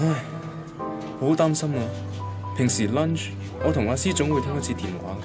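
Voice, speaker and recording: An actor playing an anxious, devoted boyfriend in a radio drama, fretting aloud in Hong Kong Cantonese. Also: male voice, on the high side, a little fast, loud music behind the voice, natural voice. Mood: worried. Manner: fretful, thinking aloud.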